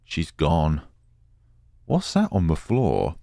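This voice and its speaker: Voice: rumbling low, wildly swooping pitch, slow pace, creaky, dark tone, no echo. A gamer giving casual commentary, reacting and reading game text aloud expressively, close to the microphone. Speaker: gamer, let's player.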